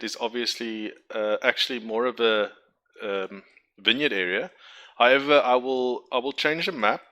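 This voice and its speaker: A man's voice: fairly low pitch, sharp tone. A man from Cape Town with a South African accent, whose first language is Afrikaans, giving a casual, hesitant walkthrough of his game map with lots of ums and restarts. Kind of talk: casual screen-share walkthrough. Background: background music.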